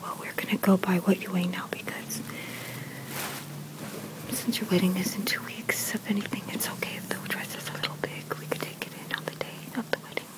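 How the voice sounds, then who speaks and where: A woman's voice: mid-range voice, fairly even intonation, low-quality recording. Whispering woman, ASMR roleplay. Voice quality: whispered, breathy. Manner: soft, unhurried, hesitant pauses between short phrases. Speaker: ASMR creator playing a bridal boutique consultant.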